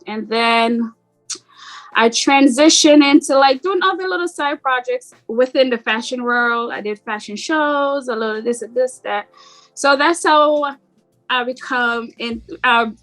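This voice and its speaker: A middle-aged woman with a slight Jamaican accent, talking about herself in a relaxed, rambling way as an interview guest. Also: on the high side, unhurried, low-quality recording. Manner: relaxed, rambling, frequent "like" fillers. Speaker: boutique owner guest. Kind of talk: casual interview chat.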